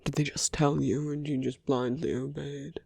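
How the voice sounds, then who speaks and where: A man playing a suave, persuasive villain in an ASMR roleplay, speaking in measured rhetorical questions and slipping into near-whispers. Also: fairly high pitch, little pitch movement, vocal fry, dark tone, slightly rough, dry recording. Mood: pointed, challenging. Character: suave male villain, persuasive, teasing, self-justifying. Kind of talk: ASMR roleplay audio drama.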